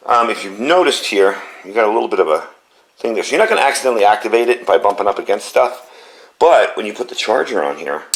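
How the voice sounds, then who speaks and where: A man's voice: fairly low pitch, echoey room. A man reviewing a flashlight in a casual, chatty way, with a scratchy voice from a cold, often checking in with "okay?". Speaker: product reviewer. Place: home garage and yard.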